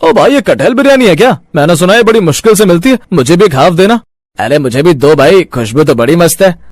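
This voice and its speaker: A man's voice: a little high, animated, brisk, no echo, clean studio sound, pitched above the performer's own voice. A man voicing an eager, exclaiming male street-food customer in a cartoon village story. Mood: excited.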